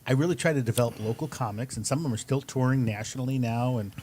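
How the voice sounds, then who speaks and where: Male comedian guest, casual interview chat, medium pitch, faint background noise. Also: fast, darkish tone. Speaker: improv comedian, show guest.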